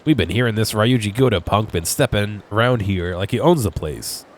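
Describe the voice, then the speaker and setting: A man's voice: lowish voice, expressive, normal speed, slight background noise, pitched above the performer's own voice, natural voice. A congested man voicing a defiant young male gang kid guarding his turf. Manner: defiant, sneering.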